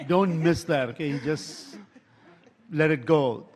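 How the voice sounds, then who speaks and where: A man's voice: on the high side, slow pace, darkish tone. A man moderating a panel, effusive in his praise, with many fillers. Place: conference hall.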